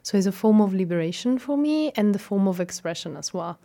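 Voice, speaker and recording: A woman's voice: mid-range voice, expressive, a little fast, gravelly, mellow. Italian woman musing in a podcast chat. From Italy. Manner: reflective, hesitant with frequent ums and laughs. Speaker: guest. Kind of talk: casual interview chat.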